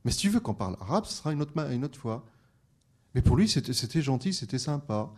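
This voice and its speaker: A man's voice: medium pitch, very animated, quick pace, darkish tone. A man, a French teacher bilingual in French and Arabic, speaking on a discussion panel with frequent restarts and fillers. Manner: hesitant, many restarts.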